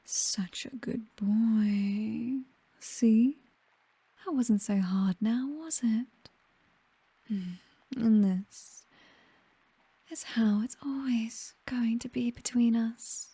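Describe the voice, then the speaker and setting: Female actor as British vampire mistress for ASMR roleplay: very soft dark tone, breathy whisper, possessive, condescending, seductive. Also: fairly high pitch, no room sound, exaggerated voice. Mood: commanding, purring. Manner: slow, teasing, softly commanding. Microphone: close microphone, dry.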